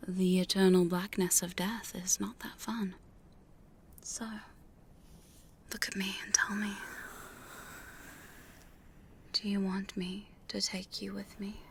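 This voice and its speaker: A woman's voice: mid-range voice, slight background noise. A woman playing a teasing, domineering female vampire hunter in a mostly whispered, seductive ASMR roleplay. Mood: teasing, menacing, then seductive, commanding. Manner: slow, breathy, seductive, commanding. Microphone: close studio condenser microphone.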